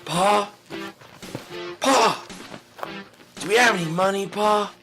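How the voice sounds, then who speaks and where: Man as a high-voiced, needy country boy. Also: slow pace, sharp tone, exaggerated voice. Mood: anxious pleading. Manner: plaintive, repetitive questioning. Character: needy, hungry young son pestering his pa. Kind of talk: dark comic audio drama. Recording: music under the voice.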